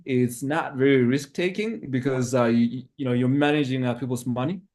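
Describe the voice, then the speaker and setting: A man from Zimbabwe in his thirties, a podcast guest who talks in a hesitant, reflective way with many pauses. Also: mid-range voice, darkish tone. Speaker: interview guest. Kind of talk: casual interview talk.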